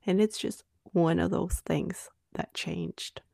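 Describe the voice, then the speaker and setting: Creaky, soft-spoken Norwegian woman in her twenties. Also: on the low side, sing-song, slow, no room sound, studio quality. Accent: Norwegian with Australian-English tinge. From Norway. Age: 20s. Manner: soft-spoken, drifting into hushed near-whispers. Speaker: podcast co-host. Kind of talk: candid conversational podcast chat.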